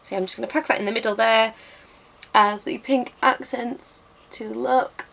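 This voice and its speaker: A young woman, a little hoarse from losing her voice, chatting casually and self-mockingly through a makeup tutorial at her desk. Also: on the high side, fairly even intonation, moderate pace, fairly bright tone. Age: young adult. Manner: chatty and self-mocking, lots of ums and restarts. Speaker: beauty vlogger. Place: bedroom with bookshelves, indoors.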